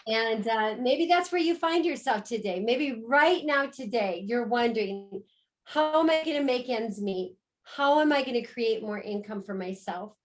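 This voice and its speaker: A middle-aged American woman, the co-host, tells her own story on a video call in a warm, upbeat sales style. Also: a little high, expressive, measured pace. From United States. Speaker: co-host and business presenter. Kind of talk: business pitch on a video call.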